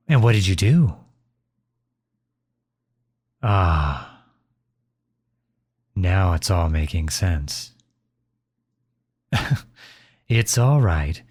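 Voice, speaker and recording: A man playing a gentle, caring middle-aged father in an intimate ASMR roleplay, speaking tenderly and reassuringly to his child. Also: sits low, lively intonation, very slow, long pauses, husky, heavily acted. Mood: proud reassurance. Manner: tender, reassuring, softly chuckling.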